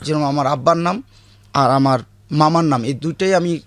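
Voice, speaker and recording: A man with a rural Bangladeshi accent, a guest recounting childhood memories in a halting way, often correcting himself. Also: on the high side, no room sound.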